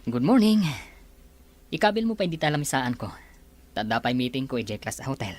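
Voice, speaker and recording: Medium-pitched young male boss played by an actor. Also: sing-song, fairly quick, natural voice. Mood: businesslike. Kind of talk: Ilocano audio drama acting.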